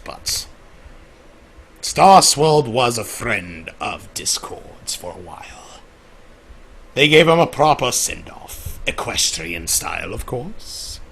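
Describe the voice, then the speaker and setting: A man playing a centuries-old male trickster from a pony world, talking to an audience in a theatrical, flamboyant, rambling way with a gravelly, husky voice. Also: mid-range voice, fairly bright tone, exaggerated voice. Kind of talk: live roleplay monologue to an audience.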